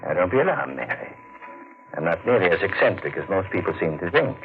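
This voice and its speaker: A man's voice: low-pitched, big pitch swings, brisk. An actor playing an eccentric, domineering old rich man in a 1940s radio drama. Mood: scheming. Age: older. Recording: tinny old radio broadcast.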